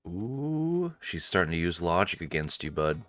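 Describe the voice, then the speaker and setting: A man's voice: sits low, big pitch swings, unhurried, fairly bright tone, no room sound. A man hosting a let's-play, reading story narration theatrically with casual, amused asides. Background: faint game music.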